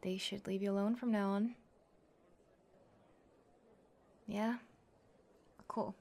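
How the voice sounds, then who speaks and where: Girl doing a cocky mma tsundere classmate for asmr rp, bossy n teasing, medium pitch, tough protector type. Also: fairly even intonation, moderate pace, a little mellow, close to an everyday voice. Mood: gruff, secretly fond. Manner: brash, taunting, bossy, teasing. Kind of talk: ASMR girlfriend roleplay acting.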